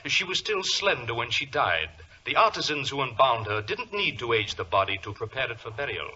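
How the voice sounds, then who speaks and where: An actor playing a suave, gloating criminal mastermind with mock-courteous menace, in the theatrical style of 1940s radio drama. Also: male voice, mid-range voice, sing-song, brisk, sharp tone, husky, heavily acted. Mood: smug, lecturing. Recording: noisy, narrow old radio recording.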